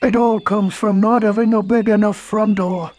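A man acts out a mild, befuddled little storybook bear. The voice is lifted high and soft, and he speaks politely, with a halting, cartoonish sweetness. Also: steady tone, natural voice. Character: gentle, slow-witted, honey-loving male toy bear.

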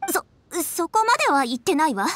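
A woman's voice: high voice, sing-song, fairly quick, fairly bright tone, no room sound. A woman voicing a proud, refined, dutiful young lady official in an anime game, with poised feminine speech. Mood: flustered. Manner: poised, formal feminine speech, flustered when teased. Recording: faint music behind.

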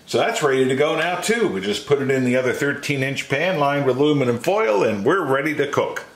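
A man's voice: medium pitch, animated, fairly quick, a little hiss or hum. A man in his sixties hosting a casual home cooking video in a folksy manner, with jokey asides. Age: 60s. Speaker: host of a home cooking channel. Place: home kitchen and backyard patio.

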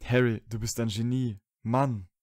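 A man's voice: medium pitch, fairly even intonation, a little slow, a little mellow, dry recording, studio quality, lightly acted. A man playing a wryly sullen, lovesick young wizard in a fan fiction audio drama, casual and understated. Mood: excited.

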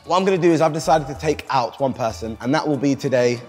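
A man's voice: mid-range voice, quick pace, a little mellow, big-room sound, soft background music. A young man, joking and teasing women in a dating game show. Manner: playful, teasing, laughs often. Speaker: dating show contestant and host. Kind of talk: casual joking banter. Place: large bare warehouse room.

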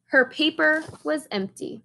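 A young adult woman reading a picture book aloud as host, with expressive, deliberate storytelling. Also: sits high, vocal fry. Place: small indoor room.

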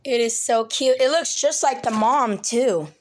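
Raspy kinda high-voiced girl, little sick, chatty w lots of ums, phone vid indoors. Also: a little slow. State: a little sick, clearing throat. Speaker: pet channel host. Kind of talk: casual phone vlog. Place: small room indoors. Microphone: handheld phone, mostly behind the camera.

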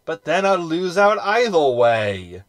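An actor playing a scheming, sarcastic hologram in a sci-fi comedy audio drama, with an arch, wordy manner. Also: male voice, a little high, big pitch swings, takes it slowly, dry recording, clean studio sound. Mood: indignant. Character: scheming, sarcastic male hologram crewman, self-satisfied. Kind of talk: science fiction comedy audio drama acting.

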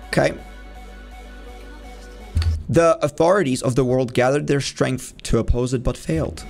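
A man's voice: medium pitch, unhurried, gravelly, faint music behind. A man in his twenties giving casual, jokey reaction commentary in informal language, as a video host. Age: 20s. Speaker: YouTube host reacting to game cutscenes. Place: small home room, indoors.

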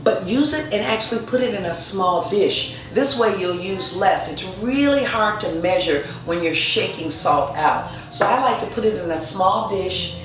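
A woman's voice: mid-range voice, animated, moderate pace, few pauses, recorded from a distance, slight background noise. Chatty woman cooking in an echoey kitchen. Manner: chatty, encouraging, with frequent restarts and light laughs. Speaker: cooking instructor and nutritionist. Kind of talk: casual cooking demonstration. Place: home kitchen, indoors. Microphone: far camera.

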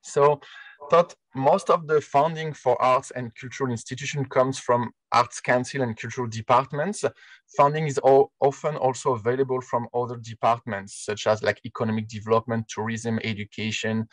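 A man from France with a clear French accent gives a talk over a video call. His delivery is hesitant and full of "uh" and "um". His voice sits at a middle pitch and sounds dry and close through his headset. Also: steady tone, moderate pace, fairly bright tone, no room sound, poor audio. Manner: hesitant, many "uh" fillers. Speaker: arts council presenter. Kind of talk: online presentation.

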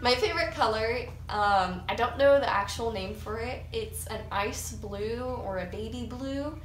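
A chatty eighteen-year-old woman with an American accent talks to her viewers, her voice sitting a bit high. She sounds a few feet from the camera in a small room with bounce off the walls, and faint music plays underneath. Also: reverberant, distant mic, slight background noise, rough recording. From United States. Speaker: YouTuber. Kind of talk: casual get-to-know-me vlog. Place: small room with cinder block wall and couch, indoors. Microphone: camera mic a few feet away.

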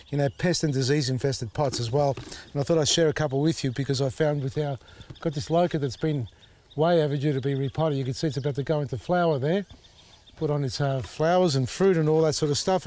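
A man, slightly husky as his voice recovers, chatting casually while demonstrating repotting outdoors in his garden. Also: on the high side. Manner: chatty, thinks out loud, many fillers. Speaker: gardening show host. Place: outdoor garden beside a tall hedge.

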